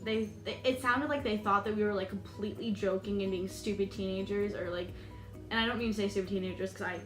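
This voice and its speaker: A woman's voice: medium pitch, fairly even intonation, fast talker. Chatty young American woman, echoey room. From United States. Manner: chatty, many "like"s and "um"s, laughs nervously. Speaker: vlogger. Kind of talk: storytime vlog. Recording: echoey room with music behind.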